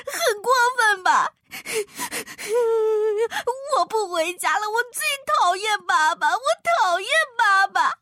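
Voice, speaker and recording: A woman voicing a sulky little boy piglet for a children's story, with a shrill, sobbing voice and stammering speech. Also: expressive, slow pace, pushed and bright, no room sound, exaggerated voice. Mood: sulky, tearful. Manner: stammering between sobs.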